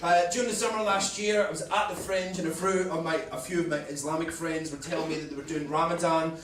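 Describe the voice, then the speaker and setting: A young Scottish man doing stand-up comedy on a club stage, with an energetic delivery that shouts punchlines. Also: a little high, fast. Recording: echoey club from far off, loud crowd.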